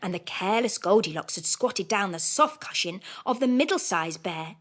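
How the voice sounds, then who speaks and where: A woman narrating a children's audiobook as scripted storytelling, in an expressive manner. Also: medium pitch, moderate pace.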